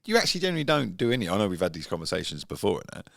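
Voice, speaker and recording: Co-host, man in his forties, low and gravelly with a lively swing, mock-offended teasing. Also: rapid-fire, no room sound. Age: 40s. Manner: playfully needling, mock-offended. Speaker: podcast co-host. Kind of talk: casual chat. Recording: dry close studio sound.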